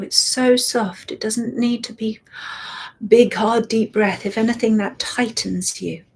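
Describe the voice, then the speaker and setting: A woman guiding a meditation, speaking softly and soothingly and often dropping into a whisper. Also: a little high, slightly rough. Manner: slow, soft and soothing, drifting into whispers. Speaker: meditation guide. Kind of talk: guided meditation.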